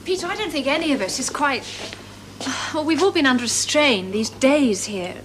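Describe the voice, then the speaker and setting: An actress playing an earnest, troubled young computer programmer with a British accent and a hesitant manner in a television drama. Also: female voice, sits high, expressive, normal speed. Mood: earnest, worried. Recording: noisy old TV drama soundtrack.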